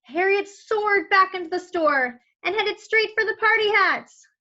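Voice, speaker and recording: A woman storyteller reading a picture book aloud to young children in an expressive, explaining way, with questioning asides. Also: extremely high pitch, unhurried, highs cut off.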